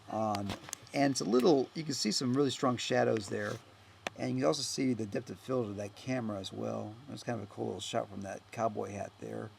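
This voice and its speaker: A man giving a casual, unscripted director's commentary as a filmmaker, rambling and halting as he goes, often trailing off. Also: fairly low pitch, creaky, darkish tone, a little hiss or hum. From United States.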